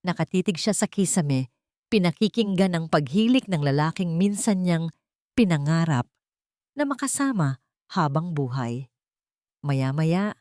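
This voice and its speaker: Low-pitched woman narrating a drama. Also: a little mellow, dry recording, clean studio sound. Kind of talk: dramatic scripted story narration.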